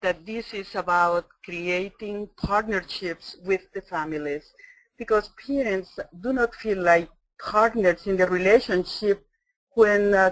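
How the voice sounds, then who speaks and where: A woman's voice: medium pitch, no room sound, poor audio. A woman from El Salvador with a Spanish accent, presenting a webinar as a trainer in an earnest, emphatic way.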